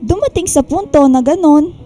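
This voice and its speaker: A woman's voice: sits high, expressive, moderate pace, warm tone, faint music behind. A woman playing a caring older Filipino mother in a radio drama, fretting and scolding with motherly emphasis. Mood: reflective.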